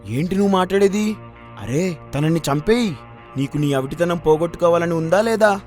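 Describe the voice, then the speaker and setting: A man's voice: on the high side, expressive, moderate pace, darkish tone, faint music behind, close to an everyday voice. A man voicing a scheming, bullying deer in a children's cartoon, pushy and insistent. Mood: angry, insistent. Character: scheming, bullying deer goading a lion to kill. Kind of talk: animated children's story acting.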